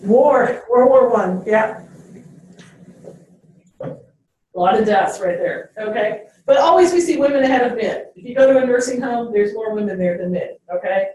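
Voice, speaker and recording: A woman's voice: fairly high pitch, expressive, normal speed, a little mellow, muffled recording, a little hiss or hum. A woman teacher giving a classroom lecture, checking in with "okay?" after each point. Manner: ends phrases with "okay?". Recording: very echoey classroom, distant mic.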